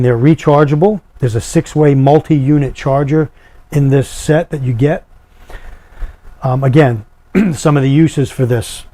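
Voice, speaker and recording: A middle-aged man giving an unscripted product demonstration in a matter-of-fact, explanatory, somewhat halting way. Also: mid-range voice, warm tone, no room sound. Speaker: product reviewer.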